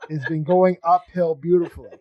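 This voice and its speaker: A man hosting a podcast in casual conversation, talking in a halting, rambling way as he thinks aloud. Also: fairly high pitch, steady tone, highs cut off. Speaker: podcast host interviewing a guest. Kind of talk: casual chat.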